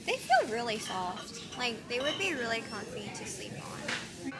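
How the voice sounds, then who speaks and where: Female vlogger, twenties, high register, relaxed shopping chat with clear store noise around her. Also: measured pace. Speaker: vlog host. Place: large retail store. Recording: busy store background noise.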